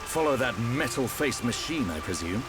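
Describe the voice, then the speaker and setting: An actor playing a composed, seasoned war hero, measured, articulate and dry in game cutscene dialogue. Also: male voice, medium pitch, sing-song, over music, close to an everyday voice. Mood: thoughtful.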